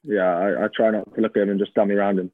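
A man's voice: lowish voice, little pitch movement, rapid-fire, no room sound. A man, a teammate, teasing his friend in casual chat. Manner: amused, teasing. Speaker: guest teammate. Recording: dry phone-like line.

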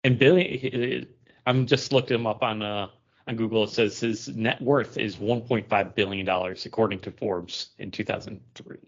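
A man's voice: lowish voice, steady tone, normal speed, husky, lo-fi sound. A man co-hosting a podcast, speaking in casual chat. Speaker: podcast co-host.

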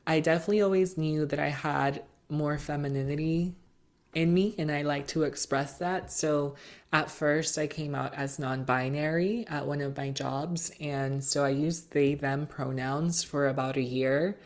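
A young woman as an interview guest, talking in an earnest, measured way with many fillers. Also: low-pitched, steady tone. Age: young adult. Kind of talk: casual interview chat.

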